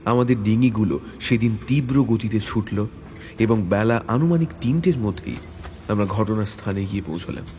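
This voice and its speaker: Low-voiced man narrating an adventure story. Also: moderate pace, dark tone, slight background noise. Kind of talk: dramatic audiobook narration of a hunting adventure. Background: background music.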